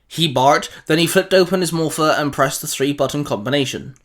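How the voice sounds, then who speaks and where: A man narrates a scripted story in a dramatic style. His voice sits a little higher than most men's, and he reads as a storyteller would. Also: a little fast, loud music behind the voice.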